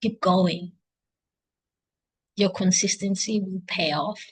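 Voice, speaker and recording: A woman's voice: medium pitch, takes it slowly, breathy edge. Kenyan-accented woman, chatty video diarist. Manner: chatty, thinking aloud, self-encouraging. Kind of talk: casual unscripted self-reflective video diary. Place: indoors at home, by a couch. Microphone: laptop.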